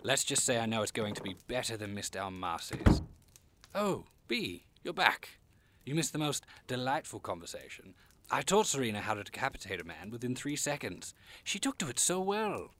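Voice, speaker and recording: A man playing a genteel, hospitable and macabre devil-like gentleman in an audio drama, effusive and quaintly polite in a theatrical way. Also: mid-range voice, big pitch swings, moderate pace, slight background noise. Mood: delighted, hospitable.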